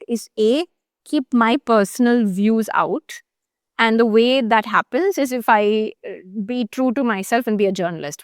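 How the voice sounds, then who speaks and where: A woman talks through a complex subject as an interview guest. She thinks aloud, pausing with fillers and restarting her sentences, at a middling pitch. The sound is close and dry. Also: dry recording. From Chennai, India. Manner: thoughtful, explanatory, frequent fillers and restarts. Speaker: journalist guest. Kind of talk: conversational interview.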